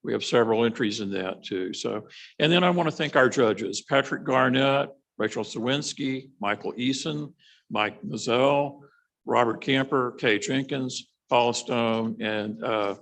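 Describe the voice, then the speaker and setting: A man presenting a committee report informally at a members meeting, halting and matter-of-fact. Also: medium pitch, gravelly, fairly bright tone.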